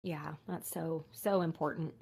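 Affirming woman interviewer, low voice. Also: steady tone, creaky, breathy edge. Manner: warm, affirming, halting questions. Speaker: podcast interviewer. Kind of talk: casual interview questions.

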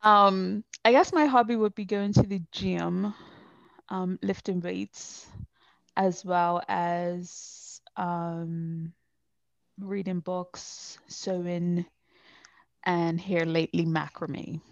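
Late-30s woman, joking podcast chat, slow and low-ish. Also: steady tone, gravelly, dry recording, muffled recording. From Bermuda.

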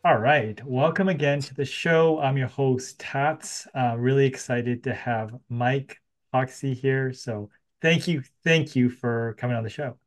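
Male podcast host, average pitch, casual interviewing style. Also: a little slow, faint music behind.

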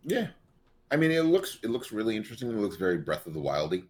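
Very deep-voiced man in relaxed chat. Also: quick pace, a little hiss or hum. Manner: relaxed, laughs easily, filler-heavy. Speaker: podcast co-host. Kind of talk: casual chat.

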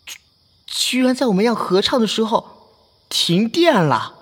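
A man's voice: high voice, very animated, a little slow, fairly bright tone, breathy edge, big-room sound, heavily acted. A man playing a flustered young college student in an audio drama, easily exasperated, with a hesitant, sighing delivery. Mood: dismayed. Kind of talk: Chinese online audio drama acting. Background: faint music.

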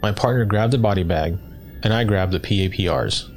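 A man's voice: fairly low pitch, fairly quick, faint music behind. A man reading a first-person horror story as scripted narration for listeners. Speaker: guest story reader.

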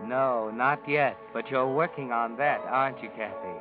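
A man narrating an old radio drama in the second person, with measured, insinuating delivery. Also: medium pitch, natural voice. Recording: tinny old radio broadcast with music behind.

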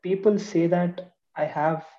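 Brief: young man from Bangalore, slightly raised, mellow voice, dull lo-fi recording. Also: high voice, fairly even intonation, takes it slowly. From Bangalore, India. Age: young adult. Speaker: student. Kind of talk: casual chat. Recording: dull lo-fi sound.

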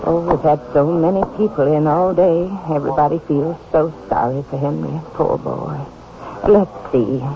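An actress playing a worried, obliging small-town American aunt in a 1950s radio drama, talkative and polite. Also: female voice, low voice, fairly even intonation, moderate pace, creaky, background noise. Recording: muffled old radio recording.

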